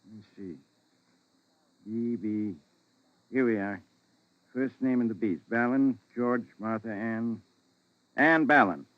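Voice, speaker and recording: A man's voice: mid-range voice, slow pace, long pauses, no room sound, natural voice. Man playing a grumbling folksy graveyard caretaker, dry old-radio sound. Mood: grudging. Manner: folksy, grumbling, rustic phrasing. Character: gruff, grumbling, money-swayed male cemetery caretaker. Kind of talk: 1940s radio acting. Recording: dull vintage 1940s radio recording.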